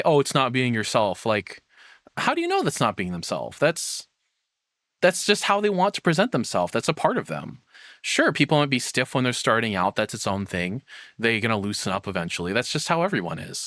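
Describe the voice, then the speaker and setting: A man's voice: medium pitch, a bit bright, no echo, studio quality. A man streamer giving casual, rambling reaction commentary in an informal, hedging way.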